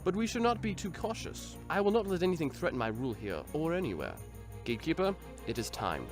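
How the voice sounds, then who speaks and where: A man's voice: a little high, sing-song, fairly quick. Guy playing evil scheming ruler, bossy and menacing, voice swings all over, music behind. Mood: cold, menacing. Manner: commanding, scheming. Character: menacing villain master plotting murder to keep his rule. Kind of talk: audio drama acting.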